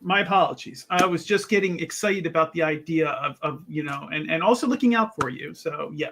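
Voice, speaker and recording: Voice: mid-range voice, fairly quick, fairly bright tone, slight background noise, pitched below the performer's own voice, close to an everyday voice. A player voicing an eager, earnest, awkward young male scholar who stammers and repeats words in a tabletop game.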